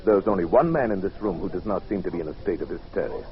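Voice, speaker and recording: An actor playing a cool, inquisitive aristocratic gentleman in an old-time radio drama, with a clipped, polite and composed delivery. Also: low-pitched, lively intonation, quick pace, a crowd in the background. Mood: disdainful. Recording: dull vintage radio recording.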